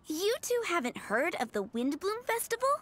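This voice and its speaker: A woman's voice: very high voice, sing-song, unhurried, fairly bright tone, slight background noise, heavily acted. A woman voicing a cheerful, sweet young flower-shop girl in a game, light and girlish.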